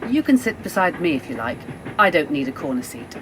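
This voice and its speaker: A talkative British middle-class wife on a journey, played by a woman in a radio play. Her voice is on the low side, polite and self-deprecating, with faint train noise behind it. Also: sing-song, a little fast. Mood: friendly.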